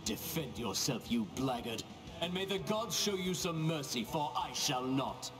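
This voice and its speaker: A man's voice: a little high, expressive, a little slow, over music. A man voicing a pompous, boastful legendary champion in a video game, declaiming in grandiose, archaic phrases. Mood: haughty, defiant. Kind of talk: video game character acting.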